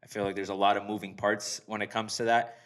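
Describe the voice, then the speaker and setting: A man with a fairly low voice hosts a casual podcast interview. He speaks conversationally, as if chatting across a table with his guest. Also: flat delivery, fast talker, a bit bright. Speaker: podcast host.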